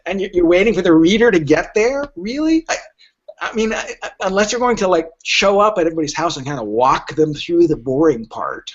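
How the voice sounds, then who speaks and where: Male podcast guest, literary agent type. Higher-than-average voice with a husky edge, wry and emphatic storytelling. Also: expressive, fairly quick. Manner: wry, emphatic, acts out quoted remarks. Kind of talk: casual podcast conversation with anecdotes. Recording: dull, lo-fi podcast audio.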